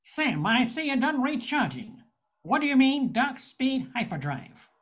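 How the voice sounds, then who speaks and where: Woman as a high-voiced hard-boiled space-noir hero. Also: big pitch swings, measured pace, husky, exaggerated voice. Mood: guarded, hard-boiled. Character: jaded male space-noir bar owner, wry hero. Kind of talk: noir narration and dialogue in radio spoof.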